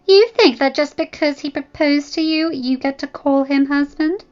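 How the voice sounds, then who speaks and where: Screaming noble lady, venomous and scornful, high strained voice, dull muffled recording, faint music behind, as a woman reads her. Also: moderate pace, darkish tone, lightly acted. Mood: furious. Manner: shrieking insults, venomous. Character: furious noble lady, middle-aged, scornful.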